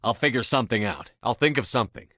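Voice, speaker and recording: A man's voice: mid-range voice, lively intonation, quick pace, fairly bright tone, no room sound, studio quality, natural voice. A man voicing a resolute, earnest human starship commander in a video game, firm and clipped in his delivery. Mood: matter-of-fact. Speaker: voice actor. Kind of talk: video game dialogue voice acting.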